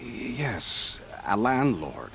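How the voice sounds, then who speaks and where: An actor playing a thoughtful, formal city official in a video game. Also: male voice, medium pitch, big pitch swings, drawn-out words, gravelly, fairly bright tone, full character voice. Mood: pondering. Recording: ambient noise behind the voice.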